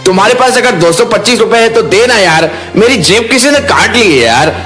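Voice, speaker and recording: A man playing a cheeky, brash, slangy young man down on his luck in an animated moral story. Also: high voice, normal speed, soft background music. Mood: pleading.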